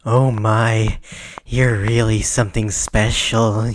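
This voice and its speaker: A creepy male anime nerd, performed by a man, smug and pedantic. The voice sits at middle pitch, recorded at home with faint noise and clicks. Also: measured pace, close to an everyday voice.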